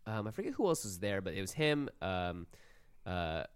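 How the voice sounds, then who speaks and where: A man co-hosting a podcast, telling anecdotes in a casual, informal and animated way. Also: deep voice, moderate pace, clean tone, no room sound, studio quality. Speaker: podcast co-host. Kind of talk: casual storytelling chat.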